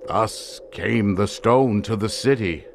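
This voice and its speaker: Grave desert tribesman, lowish voice, very slow archaic oratory, ominous audio-drama storytelling. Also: vocal fry, slight background noise. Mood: grave, foreboding. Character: grave desert tribesman elder, solemnly warning of an ancient curse.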